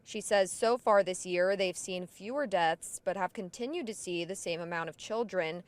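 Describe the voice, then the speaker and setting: A woman field reporter delivering a scripted local news story in a measured, clear way. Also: lowish voice.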